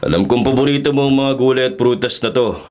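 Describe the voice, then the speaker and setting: A man playing a stubborn, devoted forest ranger husband, earnest and calmly insistent, in a Filipino radio drama. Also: mid-range voice, fast, close to an everyday voice. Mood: cheerful.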